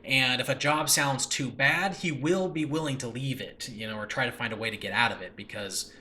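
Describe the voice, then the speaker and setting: A man speaks casually and enthusiastically as the host of his own show. His voice is bright and forceful at an ordinary male pitch, and he rambles, often pausing to find the right word. The recording is a makeshift home one with faint noise behind him. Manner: rambling, hesitant, often searching for words. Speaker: podcast host and audio drama creator. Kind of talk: casual solo talk previewing own show.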